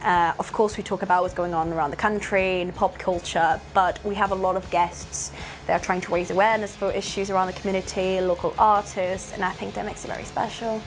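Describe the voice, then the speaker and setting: A woman's voice: medium pitch, fairly even intonation, brisk, a little hiss or hum. A woman from Portugal with a Portuguese accent, a community radio presenter speaking earnestly in an interview. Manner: earnest, grateful. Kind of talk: documentary interview.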